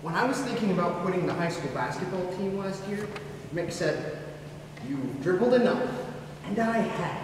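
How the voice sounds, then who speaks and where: A man's voice: high voice, lively intonation, moderate pace. Obsessive, sincere teen boy played by a young man, stage monologue; distant recording with noisy background. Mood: earnest, confiding. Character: earnest, obsessive teenage boy confiding odd beliefs. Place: school theatre stage, recorded from the audience. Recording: noisy, very echoey hall from far off.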